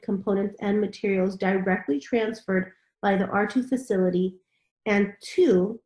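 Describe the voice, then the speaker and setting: A woman's voice: medium pitch, a little mellow. A woman in a read-along panel discussion of a technical standard, with a roomy sound.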